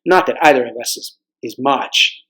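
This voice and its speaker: A man's voice: medium pitch, moderate pace, bright, forceful tone, voice pushed down, natural voice. A man voicing a frank, loyal storybook schoolboy who talks casually and plainly. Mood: matter-of-fact.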